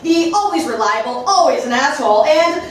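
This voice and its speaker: A woman playing a bubbly TV cooking show host in a comedy parody, gushing with drawn-out emphasis, recorded in a live theater. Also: sits high, lively intonation. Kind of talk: parody TV game show presenting. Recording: echoey live theater, distant mic, audience noise.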